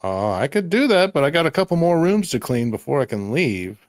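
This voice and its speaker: Working-class cleaning lady, voiced by a male game master, guarded, plain-spoken, lifted pitch, dry sound. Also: sing-song, fairly quick, no room sound. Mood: wary, flattered.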